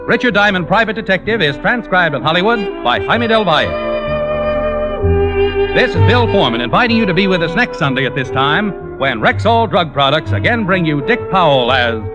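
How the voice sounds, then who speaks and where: A male announcer from vintage radio, high in pitch and strongly inflected. He reads in a formal, emphatic, polished way, with music playing along. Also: very animated, moderate pace. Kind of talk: 1950s radio announcing and commercial reading.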